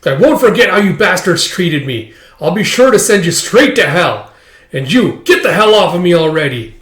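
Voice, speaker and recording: A man's voice: sits high, sing-song, moderate pace, a bit bright, voice pushed up. A man voicing an angry, foul-mouthed man from a manga, aggressive and full of contempt as he reads the part aloud. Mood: furious, threatening. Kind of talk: manga read-aloud character voice. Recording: echoey room.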